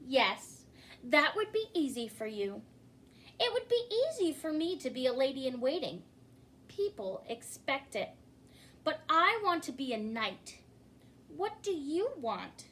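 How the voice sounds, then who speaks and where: A woman's voice: sits high, very animated, unhurried, fairly bright tone, slight background noise, exaggerated voice. A woman voicing a brave, kind young girl knight in a children's storybook, earnest and encouraging.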